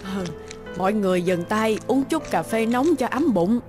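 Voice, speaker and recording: An actress playing a caring, earnest and plaintive middle-aged housemaid in a cartoon moral tale. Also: female voice, medium pitch, normal speed, soft background music, close to an everyday voice. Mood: friendly.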